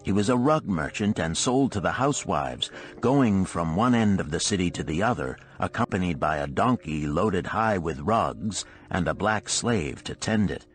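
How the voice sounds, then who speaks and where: A man's voice: sits low, expressive, normal speed, few pauses, soft background music. A man reading a story aloud as scripted audiobook narration.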